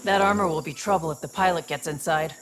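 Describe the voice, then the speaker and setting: A woman's voice: low-pitched, fast talker, noisy background, close to an everyday voice. A woman voicing a tough, matter-of-fact alien mercenary and protective older sister in a video game. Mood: calculating.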